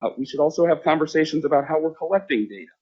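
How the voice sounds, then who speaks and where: A man, a school district official, presenting to a board in a measured way, with frequent fillers and restarts. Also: on the high side, lively intonation, breathy edge, muffled recording.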